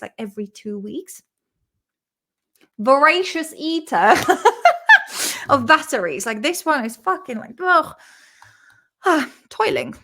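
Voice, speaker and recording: Young Czech woman, vlog host; crisp, bright tone, a bit high; loose, sweary, giggly study-along chat. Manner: chatty, swears freely, laughs often; sounds words out slowly and repeats them.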